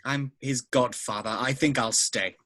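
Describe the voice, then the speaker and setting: A man's voice: mid-range voice, steady tone, a little slow, pushed and bright. A man playing a defiant, protective wizard godfather in a script read, firm and confrontational. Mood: firm defiance.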